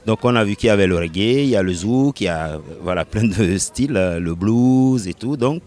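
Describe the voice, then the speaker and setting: A man from Benin answering interview questions about his music, unhurried, pausing often and laughing lightly between thoughts. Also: lowish voice, lively intonation, darkish tone. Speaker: reggae musician.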